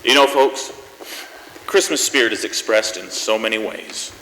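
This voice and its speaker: A man's voice: lowish voice, normal speed, natural voice. Casting: man as sentimental, genial Christmas show host, stage delivery, reverberant hall, slight background noise. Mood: warm, sincere. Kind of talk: stage hosting and scripted reading. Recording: echoey hall with faint noise.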